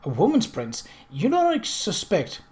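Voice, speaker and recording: A man voicing a brisk, strong-willed and defiant young lady in an audiobook, crisp and spirited. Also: sits high, huge pitch swings, darkish tone, pitched above the performer's own voice, exaggerated voice. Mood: startled.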